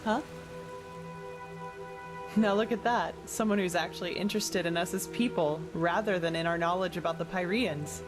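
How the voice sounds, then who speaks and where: A woman's voice: fairly low pitch, moderate pace, long pauses, music in the background, natural voice. A woman playing a frank, worldly adventurer in a fantasy game, talking in a casual, wry and blunt way. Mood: friendly, wry. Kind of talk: scripted fantasy video game dialogue.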